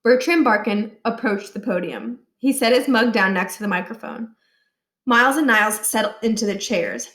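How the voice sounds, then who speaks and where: A woman narrates a children's book in an expressive storytelling style. Her voice sits fairly high, and the recording is roomy, with a clear echo. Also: moderate pace. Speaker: read-aloud storyteller. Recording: roomy, echoey indoor sound.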